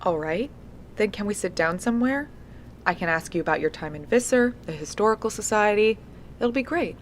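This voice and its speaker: An actress playing a diligent, polite young researcher, speaking matter-of-factly into a field recorder in an audio drama. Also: female voice, mid-range voice, animated, a little fast, natural voice. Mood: polite, persistent. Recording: noisy handheld field tape with clicks.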